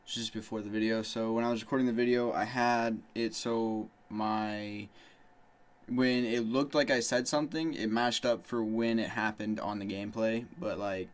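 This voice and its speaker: A man's voice: lowish voice, steady tone, moderate pace. A man giving rambling, casual gameplay tips, talking loosely with lots of "you know" and "like". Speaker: gaming channel host.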